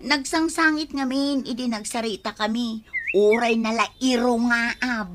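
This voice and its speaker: A woman playing a soft-hearted, worried lender in an Ilocano comedy radio drama, earnest and questioning, with an Ilocano accent. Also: fairly high pitch, steady tone, unhurried, background noise, close to an everyday voice. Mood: hurt, pitying.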